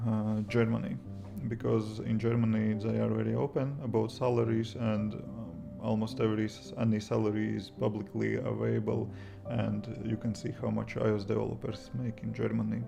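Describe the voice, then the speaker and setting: A young Latvian man, a native Latvian speaker with a Latvian accent, chatting at home on a livestream, halting and restarting often. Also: lowish voice, little pitch movement, creaky. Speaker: livestream host, iOS developer. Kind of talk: casual livestream chat reading viewer comments. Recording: guitar music playing behind the voice.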